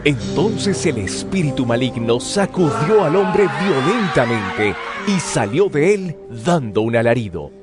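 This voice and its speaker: Casting: male narrator for dramatized scripture, solemn but expressive in intonation, music bed behind. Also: medium pitch, measured pace, hardly any pauses.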